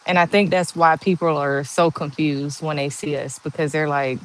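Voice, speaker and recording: Woman in her forties with a monotone voice. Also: sits low, normal speed, a little hiss or hum, poor audio. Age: 40s. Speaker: livestream host. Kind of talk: casual live chat answering viewer comments.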